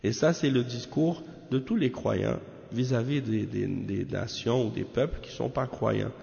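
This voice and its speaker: A man from Canada giving a measured religious lesson on a text, often tagging his sentences with questions. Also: medium pitch, gravelly, a little mellow. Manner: measured, peppers talk with tag questions. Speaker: religious teacher. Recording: echoey hall, dull lo-fi sound.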